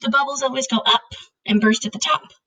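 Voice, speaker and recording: A curious storybook girl, played by a woman, with a fairly high voice that has a husky, creaky edge. She is polite and patient as she questions and explains. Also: steady tone, a little fast, pushed and bright, exaggerated voice.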